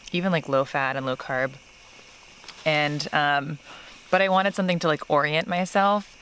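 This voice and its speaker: A woman's voice: low-pitched, a bit bright, a little hiss or hum. A woman in her thirties vlogging outdoors to a camera held at arm's length, chatty and self-deprecating, laughing easily. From not from California. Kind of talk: casual vlog talk to camera. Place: outdoors by a tree in a park.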